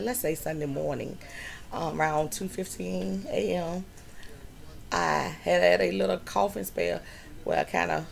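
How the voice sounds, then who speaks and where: A middle-aged woman talks to her channel from home in a slow, unhurried way, often pausing with fillers. Her voice sits a little low, with a gravelly, creaky edge. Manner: slow, drawn-out storytelling with many fillers. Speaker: vlogger. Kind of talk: personal health story vlog.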